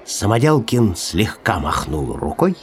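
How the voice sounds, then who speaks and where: A man narrating a children's story as an expressive audiobook reader, with music behind him. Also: fairly low pitch, a little slow.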